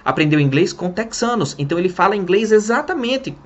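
A young man from Brazil explains language learning straight to the camera in a lively, sing-song way. His voice has a middling pitch that swoops up and down with emphasis. The sound is a little dull and lo-fi, as from a webcam in a small room. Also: brisk. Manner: lively, talks with his hands. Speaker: English teacher. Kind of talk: casual spoken lesson to camera. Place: small room in front of a printed wall.

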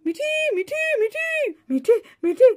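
Woman as an excitable, squeaky village boy puppet. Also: a little slow, exaggerated voice. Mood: excited. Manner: excitable, eager. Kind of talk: dramatized Bengali puppet-show dialogue.

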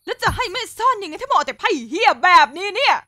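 A woman's voice: very high voice, expressive, fast talker, bright, forceful tone, no echo, heavily acted. A woman voicing a hot-tempered, foul-mouthed mother in a fan-dubbed cartoon, swearing and snapping impatiently. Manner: swears freely, snaps impatiently. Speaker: mother hosting a poker game. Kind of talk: Thai fan dub of a cartoon.

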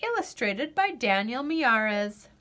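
Lively, slow-paced woman reading a storybook. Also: fairly high pitch. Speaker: storyteller reading a picture book aloud. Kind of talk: expressive children's read-aloud narration.

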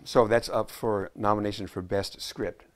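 A man in his sixties with a lowish voice, talking as an interview guest. He tells stories earnestly and drops in quick jokes, recorded on a lapel mic in a living-room set. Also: fairly even intonation. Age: 60s. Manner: joking, earnest storyteller. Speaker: guest scriptwriter. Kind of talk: casual interview chat.

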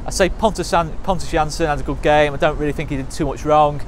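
A man's voice: fairly high pitch, steady tone, quick pace. A young man, giving a blunt, frank post-match review to camera outside a stadium, with lots of fillers. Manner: blunt, frank, fan's frustrated verdicts with many fillers. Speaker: football fan channel presenter. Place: outdoors by a stadium car park. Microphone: camera mic, chest-up framing. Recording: faint traffic noise outdoors. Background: faint passing cars.

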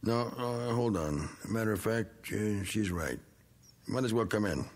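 A gruff, deep-voiced man. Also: lively intonation, measured pace. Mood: guarded. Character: gruff middle-aged man clearing out his attic. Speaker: actor in a TV drama. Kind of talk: 1980s TV drama acting.

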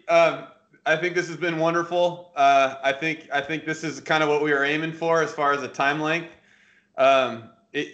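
A man's voice: on the high side, fairly bright tone. A man in his thirties hosting a podcast over a video call, enthusiastic and encouraging. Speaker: podcast host. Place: home room, indoors. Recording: dull lo-fi call audio.

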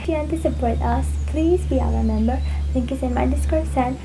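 A young woman from Nepal hosting a casual reaction vlog, soft-spoken and laughing often. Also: high-pitched, fairly quick, noisy background. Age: young adult. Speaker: YouTube reaction host. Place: indoor room with red backdrop and fairy lights.

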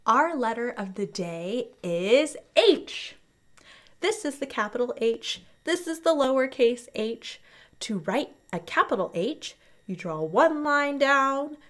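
A young woman hosting a children's storytime, speaking with animated, exaggerated enthusiasm and deliberate enunciation as she teaches. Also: sits high. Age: young adult. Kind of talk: children's educational presentation.

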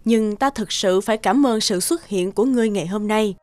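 A woman voicing an elderly fairy elder in a cartoon, wise and reproving, with a measured, authoritative manner. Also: medium pitch, darkish tone, no room sound, natural voice. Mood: stern, approving. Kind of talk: animated fairy tale dubbing. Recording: music behind the voice.

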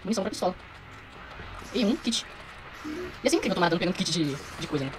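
Young brazilian guy streaming a game, talks fast and slangy, some noise behind him. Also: sits high, animated. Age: young adult. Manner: slangy, muttering to himself. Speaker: gaming streamer. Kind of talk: casual let's play commentary.

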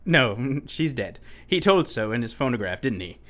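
An actor playing an unsettled, pleading asylum inmate in a radio play, speaking formally and urgently. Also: male voice, medium pitch, very animated, normal speed, fairly bright tone. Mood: courtly, sly. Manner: formal, urgent, given to sudden chuckles.

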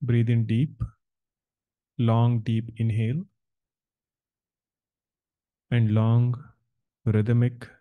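A man leading a guided meditation as a spiritual teacher, speaking in calm, measured, deliberate short phrases. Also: medium pitch, phone-quality sound. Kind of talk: slow guided meditation instruction.

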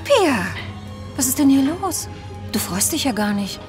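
Taunting young woman, slow and singsongy, radio play. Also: sits high, very animated, over music. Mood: mocking. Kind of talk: audio drama acting.

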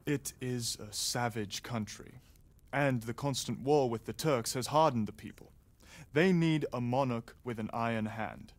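A man's voice: medium pitch, measured pace, no echo, close to an everyday voice. An actor playing a polite, well-spoken young nobleman explaining matters in a video game cutscene.

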